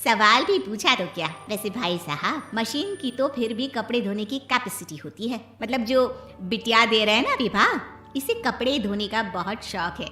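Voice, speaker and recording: A woman plays a chatty cartoon mother pushing her daughter at people, boastful and sly. The voice is high and bright. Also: expressive, moderate pace, reverberant, soft background music. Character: talkative mother eager to marry off her daughter. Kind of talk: cartoon story voice acting.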